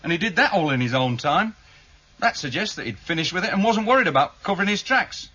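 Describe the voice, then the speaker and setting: An actor playing a methodical, keen junior police investigator in a British radio drama, explaining evidence matter-of-factly to a superior. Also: male voice, fairly high pitch, sing-song, fast talker, sharp tone, full character voice. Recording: dull, lo-fi 1978 radio broadcast.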